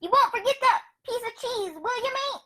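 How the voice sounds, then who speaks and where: A woman playing an excitable, half-mad marooned with a rambling, folksy way of talking. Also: extremely high pitch, moderate pace, heavy background noise. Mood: excitable, wary. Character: Ben Gunn, excitable half-mad marooned old pirate castaway.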